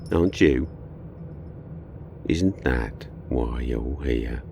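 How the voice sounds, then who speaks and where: A demonic horror-drama villain speaks from a bottomless, gravel-filled register. The words come slowly, with taunting contempt and quiet menace, amid clear background noise. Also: male voice, animated, exaggerated voice. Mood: sly menace. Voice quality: very deep, gravelly. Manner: slow, deliberate, taunting, menacing. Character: demonic presence in a condemned murderer boy, taunting, contemptuous. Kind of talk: audio horror drama acting.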